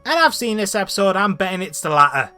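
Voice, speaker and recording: A woman presents a scripted comedic review in a bright, lively voice. Her pitch moves around a lot as she rants bluntly and swears with exasperation. Faint music plays behind her. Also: quick pace. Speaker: host of a cartoon review show.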